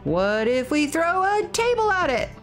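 A woman giving playful, expressive let's play commentary on a game, excitable and theatrical when reading aloud. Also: very high voice, a little slow, soft background music. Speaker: game streamer and host.

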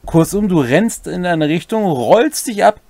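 A man's voice: sits high, expressive, a little slow, vocal fry, a little mellow, no room sound, clean studio sound. A man in his forties explaining tabletop game rules in a conversational way as a co-host and game master. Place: small home room, indoors.

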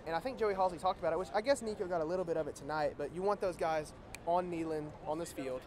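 A young man co-hosting a postgame sports recap, outdoors in a big stadium at night on a lapel mic, briskly leading the talk and asking questions. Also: on the high side, a little mellow. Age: young adult. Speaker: co-host and sports editor. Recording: stadium crowd noise behind.

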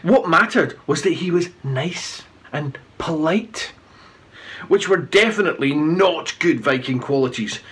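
A middle-aged man narrating a children's storybook aloud in an animated read-aloud style. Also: on the high side, slightly rough. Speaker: children's author reading aloud.

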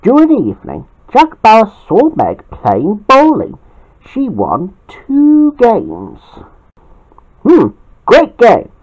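Amateur host reading a story to viewers, slow n halting, pitch all over the place. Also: huge pitch swings, a little mellow, dull audio.

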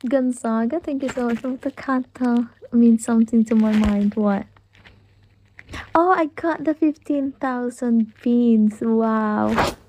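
Young filipina girl on a phone live, slow easy talk, bit high, giggly and gushy. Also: dark tone, slight background noise, poor audio. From Philippines. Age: young adult. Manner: gushing, giggly, thanking viewers. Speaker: live streamer. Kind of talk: casual live-stream chat reading comments. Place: indoor room. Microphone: phone held close at arm's length.

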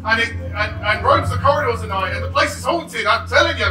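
Shrieky, high-pitched pantomime dame. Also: male voice, lively intonation, brisk. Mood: spooky gossip. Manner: exclamatory, theatrical, playing to the crowd. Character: brash, excitable ugly sister, a pantomime dame. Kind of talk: broad stage pantomime acting. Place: theatre stage before a live audience. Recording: echoey theatre stage, distant microphone, music, audience.

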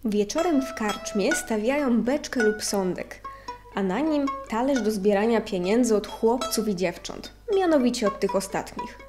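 A woman narrator giving a measured, scripted reading of an old ethnographic text. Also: a little high, lively intonation, over music.

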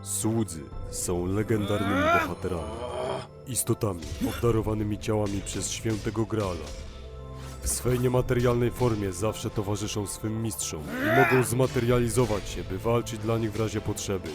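Solemn male narrator played by an actor, lowish pitch, measured, knowing anime exposition, music behind. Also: natural voice. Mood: grave, explanatory. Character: grave, knowing male narrator of a legendary war.

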